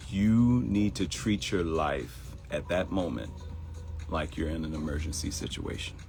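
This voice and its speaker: A middle-aged man with a Baltimore accent talks to his live audience in a low, deep voice. His pitch swings widely as he tells stories and acts out quoted voices. Soft music plays faintly behind him. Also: a little slow, vocal fry. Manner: animated, acts out quoted voices, addresses viewers directly. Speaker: host of a live morning show. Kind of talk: casual livestream talk.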